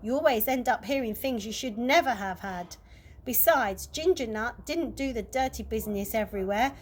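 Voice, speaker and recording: A woman's voice: fairly high pitch, normal speed. A woman reading a chapter book aloud as bedtime story narration for children. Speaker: early years practitioner reading aloud.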